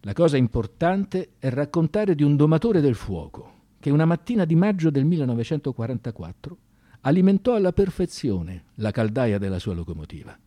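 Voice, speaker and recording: A man narrating a radio drama as a scripted story, intense and emphatic, with deliberate dramatic pauses. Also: fairly high pitch, sing-song, mellow, no echo.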